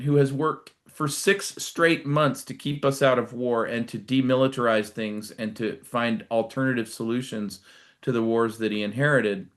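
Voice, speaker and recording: A man's voice: medium pitch, fairly bright tone. Middle aged or older american guy, guest pundit on a livestream, explains stuff carefully, punches key words. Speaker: guest commentator, retired military. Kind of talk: live political commentary, conversational.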